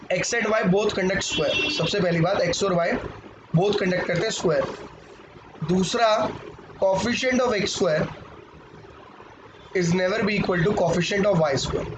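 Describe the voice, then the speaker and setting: A hoarse man from India teaches maths in a live class and keeps joking with his students. His voice sits high for a man. The recording is muffled, with steady background noise. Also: echoey room. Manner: banters with students, mixes Hindi and English. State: hoarse, sore throat.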